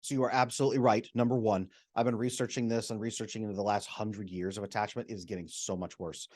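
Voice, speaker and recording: A man, a retired psychotherapist, speaking as a podcast guest and explaining at length, often acting out quoted people with sudden jumps up in pitch. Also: level tone, quick pace, a little mellow. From America. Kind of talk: animated explanatory interview talk.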